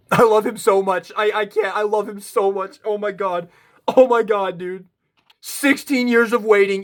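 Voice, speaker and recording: A man giving an excited unboxing review, gushing and emphatic as he talks. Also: extremely high pitch. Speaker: toy reviewer.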